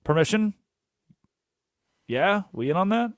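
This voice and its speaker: A young man hosting a sports radio show, animated and jokey, rambling through asides in a studio. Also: high voice, measured pace, no room sound. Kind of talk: energetic sports talk radio. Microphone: studio microphone.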